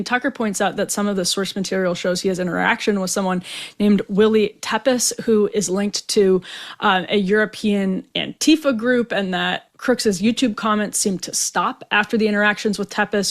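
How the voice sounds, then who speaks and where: An American woman hosting a talk show, giving conversational commentary on the news. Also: medium pitch.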